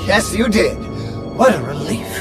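A man's voice: high-pitched, sing-song, unhurried, over music. A man playing a fussy, sarcastic droid in a video game, prim and sardonic. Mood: prim sarcasm.

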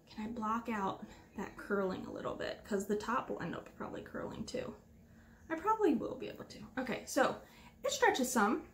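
Chatty 38-year-old woman knitting podcaster. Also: fairly high pitch. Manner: chatty, rambling, full of fillers and restarts. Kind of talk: casual rambling chat to camera. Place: living room at home, indoors.

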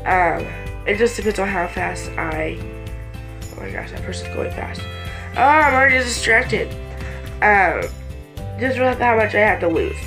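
A woman's voice: medium pitch, sing-song, measured pace, gravelly, long pauses, music in the background. A woman in her late teens with congested sinuses, chatting casually and hesitantly to camera as a vlogger, easily distracted. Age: late teens, almost 18. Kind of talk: casual rambling chat to camera. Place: small bedroom, indoors.

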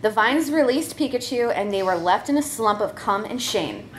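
A woman's voice: fairly high pitch, lively intonation, moderate pace, a little hiss or hum. A woman reading a comic story aloud as a performer, giggly and prone to breaking up as she goes. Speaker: comedy troupe member reading a story.

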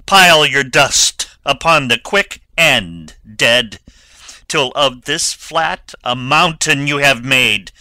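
Guy doing a hot-headed grieving nobleman, shakespeare style, really sharp and tense, slow declaiming. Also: medium pitch, no room sound, full character voice. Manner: declamatory, forceful. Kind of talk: dramatic Shakespeare audiobook reading.

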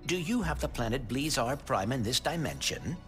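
A man's voice: mid-range voice, very animated, normal speed, a bit bright. A man voicing a small, polite, thoughtful robot sidekick with precise, formal phrasing in a video game. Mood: thoughtful, calm. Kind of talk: video game cutscene acting. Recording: music behind.

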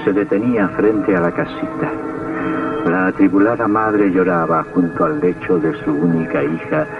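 A mocking creepy horror narrator, gravelly, old tinny radio play sound with music behind. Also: male voice, low voice, far from the mic. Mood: sinister narrating. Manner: theatrical, deliberate, mocking. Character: macabre, sardonic male horror storyteller with a sinister chuckle.

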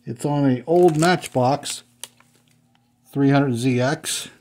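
A man's voice: medium pitch, slow, darkish tone, slight background noise. A middle-aged man chatting casually as he unboxes old toy cars at a table at home, thinking aloud as he handles each one. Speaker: toy car collector unboxing.

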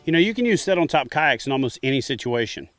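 Joking fishing host outdoors on a lake. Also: male voice, mid-range voice, very animated, fast, fairly bright tone. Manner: joking, easygoing. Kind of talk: casual on-camera talk from a kayak. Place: outdoors on a wooded lake, seated in a kayak. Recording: music bed underneath.

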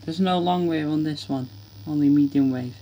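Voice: medium pitch, normal speed, warm tone. A vlogger casually demonstrating products in plain, simple informal phrasing. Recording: noisy home camera recording.

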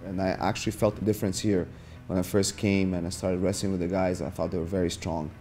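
Low, flat-voiced man in his forties. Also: music in the background. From Brazil. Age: 40s. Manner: halting, many filler sounds. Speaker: jiu-jitsu instructor. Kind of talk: documentary interview. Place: martial arts gym.

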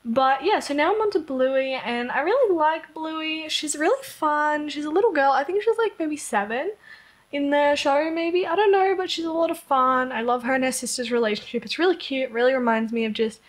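A young woman with an Australian accent chats away over her gameplay footage, rambling from one thought to the next with plenty of fillers. Her voice sits a little high for a woman. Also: moderate pace. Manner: chatty, rambling, with frequent "like" and small laughs. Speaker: Sims content creator. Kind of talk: casual chat over gameplay. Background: rain heard outside.

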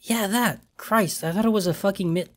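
A man's voice: high-pitched, normal speed, a bit bright, pitched above the performer's own voice. A man voicing a panicked young woman who is speaking over the phone in a horror story. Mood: frightened. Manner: frantic, breathless.

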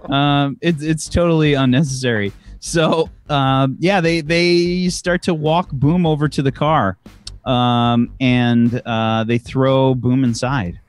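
A man's voice: mid-range voice, steady tone, takes it slowly, soft background music. A young man narrating as game master in a tabletop game, rambling with lots of fillers. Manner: rambling, many likes and fillers.

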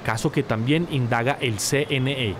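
A man's voice: mid-range voice, moderate pace, a little hiss or hum. A man with a Colombian accent voicing a news report in an emphatic narrating style. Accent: Colombian Spanish.

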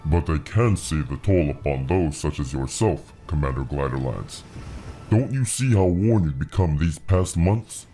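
Guy doing old wise dragon, really low mellow voice, formal n grave, fantasy audio drama. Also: animated, soft background music. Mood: grave concern. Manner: formal, grave, measured counsel.

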